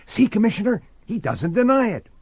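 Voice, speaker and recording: An actor playing a stubborn, suspicious, hot-tempered plainclothes detective in a radio drama, with a blunt, sardonic manner. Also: male voice, high voice, sing-song, a little fast, vocal fry, husky, no echo, heavily acted. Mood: angry, accusing.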